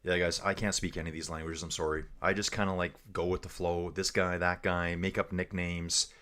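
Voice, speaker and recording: A chatty man with a lowish voice runs a livestream race commentary. He reads viewer messages aloud and riffs casually, calling everyone guys and dude. His tone is loose and conversational throughout. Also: flat delivery, a little fast, a bit bright. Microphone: earbuds.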